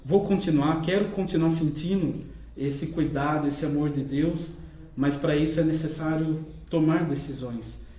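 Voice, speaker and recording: A man leads a religious interview, speaking gently with lots of tag questions. His voice sits a little high and sounds warm. The echoey room has a faint hiss behind it. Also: normal speed.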